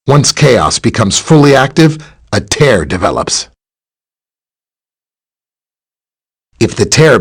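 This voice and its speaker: An actor playing a helpful, earnest scientist superhero in a video game, explaining technical matters in a friendly way. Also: male voice, on the low side, slightly rough, full character voice. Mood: grave, explanatory. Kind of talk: scripted game dialogue, explaining and briefing.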